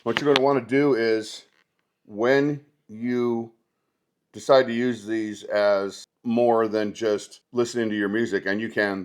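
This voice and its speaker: A man talking casually and conversationally as a product reviewer, showing items step by step in an unboxing video. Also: on the low side.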